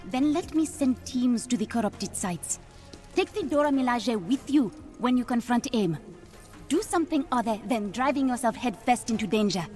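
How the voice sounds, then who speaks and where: An actress playing a sharp, self-assured young princess and tech genius in a video game, speaking directly. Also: female voice, fairly high pitch, lively intonation, normal speed, close to an everyday voice. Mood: urging. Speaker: princess and scientist. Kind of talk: cinematic video game acting. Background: faint music.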